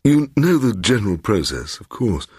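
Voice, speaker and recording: Sinister gentleman for a ghost story, acted by a man: pompous, insinuating, raspy, animated delivery, close dry sound. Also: lowish voice, moderate pace, no room sound, voice pushed up. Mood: aggrieved, self-justifying. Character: sinister pompous gentleman host obsessed with the occult. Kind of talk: dramatised audiobook character voice.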